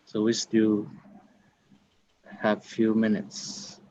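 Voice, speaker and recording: A middle-aged man from China, chatting in halting English with his online tai chi class over a video call. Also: on the low side, monotone, dark tone. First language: Chinese. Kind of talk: casual chat with online class. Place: martial arts hall. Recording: slightly dull video call audio.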